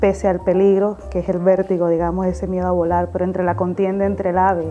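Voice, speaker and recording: A woman's voice: fairly low pitch, level tone, fairly quick, dark tone, muffled recording, soft background music. A woman in her thirties with a Caribbean Colombian accent, chatting casually as a guest writer in an interview. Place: small TV studio set, indoors.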